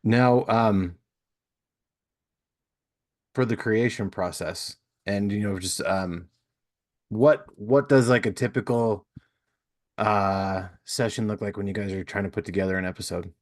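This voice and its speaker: Male podcast host, thirties to forties, deep register, conversational, close dry recording. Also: fairly even intonation, unhurried, pauses often, no echo. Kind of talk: casual interview chat.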